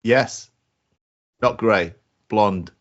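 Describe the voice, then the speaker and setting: A man's voice: lowish voice, drawn-out words, highs cut off. A man co-hosting a casual comedy podcast, hesitant, with many stammered restarts and giggles. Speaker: podcast co-host. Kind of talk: casual banter.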